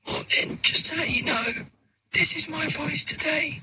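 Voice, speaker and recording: A radio presenter who has lost his voice leaves a hoarse, squeaky voice message, apologetic and explaining himself. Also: normal speed. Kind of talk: recorded voice message. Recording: noisy phone voice message.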